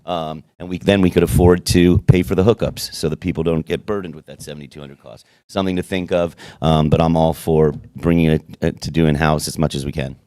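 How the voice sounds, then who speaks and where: A man with a low, deep voice talks through a point at a city workshop. He restarts often and leans on fillers as he works out his view. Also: steady tone, warm tone. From South Florida. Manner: halting, many restarts and fillers. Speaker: city commissioner. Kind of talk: public meeting discussion.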